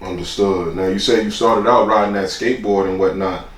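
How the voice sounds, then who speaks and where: Low-voiced laid-back male host. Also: steady tone, a little fast, echoey room. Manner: laid-back, calls the guest "man" and "brother". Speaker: podcast host. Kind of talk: casual interview.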